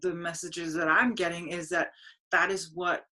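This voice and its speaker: A woman from Denmark hosts a spiritual podcast over a webcam call. Her voice is bright and forceful and sits slightly low, and she talks earnestly, stopping and restarting as she searches for words. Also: level tone. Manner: earnest, halting, with many restarts. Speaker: podcast host. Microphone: video call webcam.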